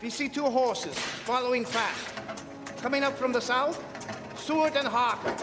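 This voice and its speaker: A man's voice: extremely high pitch, unhurried. A man playing a grave, commanding foreign professor who hunts vampires, theatrical and emphatic in a live radio drama. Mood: tense, grave. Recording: live stage in reverberant hall, loud music.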